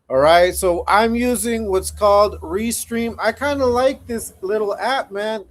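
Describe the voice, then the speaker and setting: A man's voice: sits high, takes it slowly. A man in his forties hosting a casual livestream, chatting laid-back with viewers and often repeating himself. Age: 40s. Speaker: livestream host.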